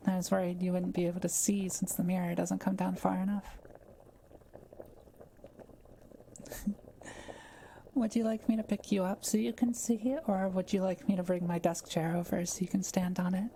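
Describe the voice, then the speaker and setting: Woman acting a gentle, low-voiced kind witch. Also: flat delivery, a little fast, creaky, slight background noise, poor audio. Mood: tender, affectionate. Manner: soft-spoken, reassuring, careful explaining. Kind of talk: intimate roleplay audio drama, addressing the listener.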